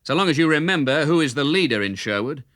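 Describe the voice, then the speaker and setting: A man's voice: fairly high pitch, expressive, fast, fairly bright tone, voice pushed up. A man voicing a bold, cheeky outlaw hero in a children's storybook, declamatory and teasing. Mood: confident. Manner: gleeful, taunting, declamatory. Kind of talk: children's storybook character reading.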